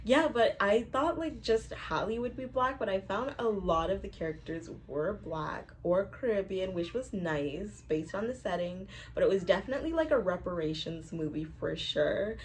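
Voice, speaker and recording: A woman's voice: medium pitch, expressive, normal speed, hardly any pauses, a little hiss or hum. A woman hosting a vlog, giving a casual movie review in a very informal, conversational way. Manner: informal, peppered with "like".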